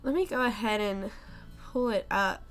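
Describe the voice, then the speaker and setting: A woman's voice: mid-range voice, normal speed, soft background music. Chatty young woman streamer, a bit sick. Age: young adult. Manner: chatty, rambling, many ums. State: sick, losing her voice. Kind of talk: casual live-stream chat.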